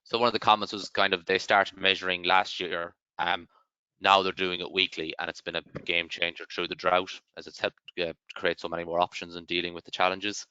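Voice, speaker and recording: A man with a very deep, clear voice moderating an online session, hesitant and full of ums. His bright tone comes through dry, slightly dull call audio. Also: flat delivery, a little fast, dry recording. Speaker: webinar moderator. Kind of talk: moderating questions.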